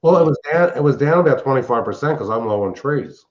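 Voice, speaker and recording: A man's voice: medium pitch, animated, fast talker, a little mellow, a little hiss or hum. An older man chatting casually and technically, explaining things in a rambling way as a show host and hobbyist. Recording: dull, band-limited sound.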